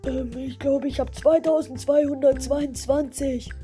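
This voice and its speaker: Woman doing a little cactus, silly, giggly, high voice, slow talker, faint music behind. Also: steady tone, mellow. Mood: goofy. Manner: giggles a lot, hesitant, drawn-out filler sounds. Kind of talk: podcast interview guest, playful improvisation.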